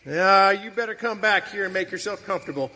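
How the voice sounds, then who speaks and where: An actor playing a scoffing, stubborn, folksy cowhand with an Old in a western radio drama. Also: male voice, sits high, a little fast, bright, forceful tone, exaggerated voice. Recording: reverberant hall, faint background noise.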